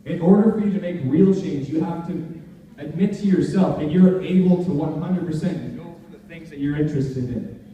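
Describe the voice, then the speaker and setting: High-pitched young man, very echoey hall. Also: normal speed, mellow, lo-fi sound. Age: young adult. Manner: earnest, emphatic, informal. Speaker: motivational speaker at a student leadership conference. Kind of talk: motivational talk to a live audience. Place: large indoor conference hall. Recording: echoey noisy hall, far from mic.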